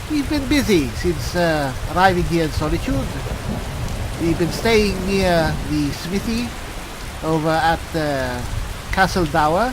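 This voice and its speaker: A man voicing a genial, chatty armored cleric adventurer in game roleplay, musing and conversational. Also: fairly high pitch. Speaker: gamer roleplaying his character. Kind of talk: in-character game roleplay narration. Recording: hissy, rain noise throughout. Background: falling rain.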